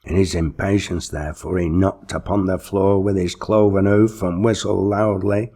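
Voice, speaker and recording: Male audiobook reader, low-pitched, raspy, deliberate, theatrical storytelling. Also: fairly even intonation, dark tone. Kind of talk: expressive scripted storytelling narration.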